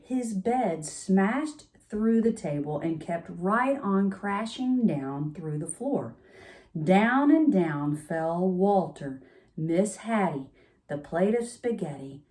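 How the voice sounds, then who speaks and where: A woman's voice: on the low side, a little mellow. A woman storyteller reading a children's picture book aloud at bedtime, expressively, with chatty asides.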